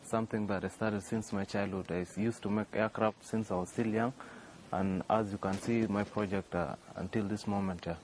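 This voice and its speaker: A man's voice: lowish voice, steady tone, normal speed, a little mellow. A young man, answering interview questions hesitantly, with many restarts and a filler "yeah". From South Sudan. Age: young adult. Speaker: interview guest, young inventor. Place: outdoors by a workshop. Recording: faint outdoor noise.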